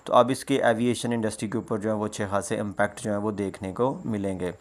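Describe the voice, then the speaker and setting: A man presenting informal, explanatory news commentary, often pausing mid-sentence and leaning on repeated filler phrases. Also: on the low side, steady tone. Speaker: presenter of a world news roundup.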